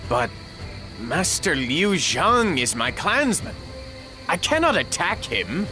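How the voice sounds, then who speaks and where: Slow, solemn, noble warlord. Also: male voice, fairly high pitch, huge pitch swings, sharp tone, faint music behind, full character voice. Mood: torn. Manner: solemn, courteous, deliberate. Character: noble, earnest, kindly male warlord. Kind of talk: dramatic video game voice acting.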